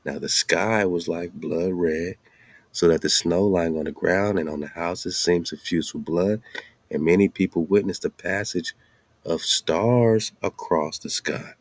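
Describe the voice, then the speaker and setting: A man's voice: low voice, unhurried, dry recording. An American man hosting, reading a text aloud and breaking into colloquial, off-the-cuff commentary with emphatic asides. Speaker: host.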